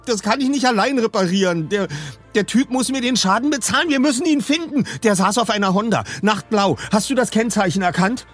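Audiobook role: upset, urgent teen boy, acted by a man, pitched high and animated. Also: normal speed, husky, faint music behind. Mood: agitated, angry. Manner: agitated, exclaiming, urgent. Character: frantic, furious teenage boy, upset about his wrecked car. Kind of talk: audiobook character voice.

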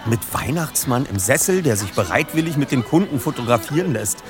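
A composed young detective leader acted by a man in an audio drama. He observes things in precise, slightly formal words, mid-pitched and expressive, with faint music behind. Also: brisk, lightly acted. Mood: observant. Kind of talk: German audio drama dialogue.

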